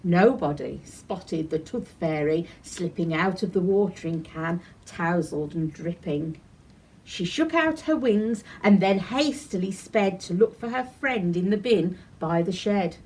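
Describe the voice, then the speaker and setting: Animated, slightly husky woman reading a children's story. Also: on the low side, rough recording. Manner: animated, deliberate storytelling in rhyme. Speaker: library storyteller. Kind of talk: expressive children's picture-book reading.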